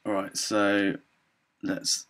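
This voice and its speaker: Young guy doing a casual student software demo, slow talker, low voice, lots of ums and throat clearing, kinda unsure. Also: completely flat delivery. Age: young adult. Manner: hesitant, halting, frequent ums and throat clearing. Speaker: student presenter. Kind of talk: informal software demonstration.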